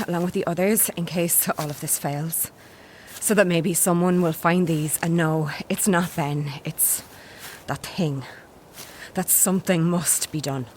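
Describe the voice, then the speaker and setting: An actress playing a woman recording a final phone log in an audio horror drama, halting and intimate. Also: fairly low pitch, lightly acted. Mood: grim, determined. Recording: noisy phone voice memo with ambience.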